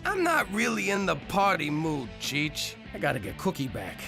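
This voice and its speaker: A man's voice: on the high side, huge pitch swings, normal speed, gravelly, music in the background, exaggerated voice. A man voicing a hapless, guilt-ridden mob man in a cartoon, with a mumbly, self-pitying, wisecracking way of talking. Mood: glum. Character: hapless, guilt-ridden middle-aged mob man, kicked out by his wife. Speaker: main character. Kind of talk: animated sitcom voice acting.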